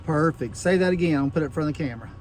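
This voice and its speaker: A man's voice: fairly high pitch, racing. A man talking casually to camera in a plain-spoken, folksy way, as host of a field test. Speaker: host of a gear review video. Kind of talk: casual chat to camera while field testing walkie-talkies. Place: outdoors and inside a truck. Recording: noisy outdoor and in-truck recording. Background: vehicle and outdoor noise.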